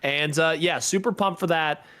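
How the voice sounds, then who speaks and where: Higher-pitched man in easygoing podcast chat. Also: normal speed, sharp tone. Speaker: podcast co-host. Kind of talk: casual chat on a gaming podcast.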